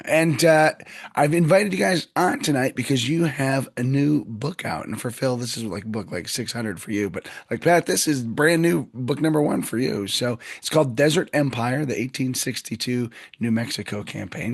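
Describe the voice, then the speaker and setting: A man hosting a history podcast with a scratchy, hoarse voice, chatting loosely and joking with his guests. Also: mid-range voice, normal speed, no echo, studio quality. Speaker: podcast host. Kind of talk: casual interview chat.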